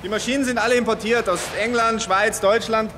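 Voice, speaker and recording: An actor, chatty and explanatory. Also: male voice, high voice, steady tone, brisk, lightly acted. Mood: explaining. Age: young adult. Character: brisk, casual young male rocket engineer boss. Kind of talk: radio play dialogue. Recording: noisy, with car and machinery ambience.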